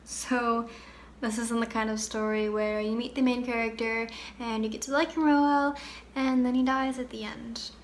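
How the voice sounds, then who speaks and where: Grieving, candid young girl, acted by a woman, higher-pitched, wistful conversational stage monologue, slightly noisy amateur recording. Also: level tone, lightly acted. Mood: matter-of-fact, wistful. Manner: conversational, wistful storytelling with pauses. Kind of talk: dramatic monologue.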